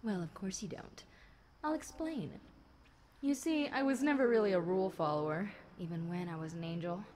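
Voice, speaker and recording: A woman's voice: medium pitch, a little mellow, lightly acted. A woman playing a chatty, centuries-old fallen angel demon girl, talking wryly and conversationally to one listener in an audio roleplay. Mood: matter-of-fact explaining. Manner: conversational, wry, unhurried, dramatic musing. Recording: clear background noise.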